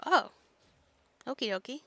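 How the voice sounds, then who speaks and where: A woman's voice: a little slow, pushed and bright. A woman giving casual, playful live commentary as she plays a video game, dropping in cutesy little interjections. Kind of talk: casual gameplay commentary, chatting to herself.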